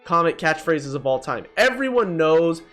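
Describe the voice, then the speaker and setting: A man's voice: fairly high pitch, sing-song, normal speed, fairly bright tone. A man giving casual, blunt, slangy ranking commentary as host of a gaming video. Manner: slangy and blunt, addresses viewers as bro. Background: music.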